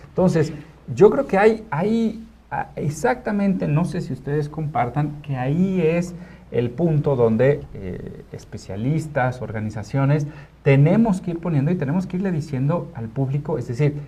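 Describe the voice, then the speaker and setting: A man speaks in a soft, mellow tone at an average pitch. He hosts a studio discussion conversationally, feeling his way through ideas and often starting phrases again. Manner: halting, rephrasing often, seeking agreement. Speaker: talk show host. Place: internet TV studio.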